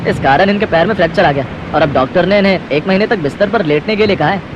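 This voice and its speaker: Guy doing a practical husband in a cartoon story, talks fast, muffled sound w faint noise. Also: high voice, natural voice. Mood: explaining. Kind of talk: Hindi cartoon story acting.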